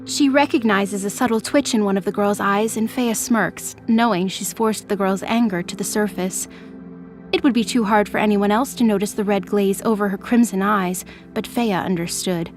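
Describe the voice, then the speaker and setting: A woman's voice: mid-range voice, normal speed, faint music behind. A woman narrator reading scripted, dramatic fiction for an audio drama.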